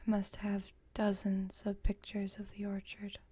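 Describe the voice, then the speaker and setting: A woman playing a gentle, wry, nostalgic vampire roommate in an ASMR roleplay, in a soft, breathy whisper and an unhurried manner. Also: mid-range voice, completely flat delivery.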